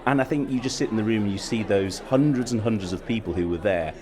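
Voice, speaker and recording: A man's voice: lowish voice, fast talker. A man giving an upbeat interview as a company executive. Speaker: interview guest, joint chief executive of a theatre company. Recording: busy crowd chatter behind voice. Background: event crowd.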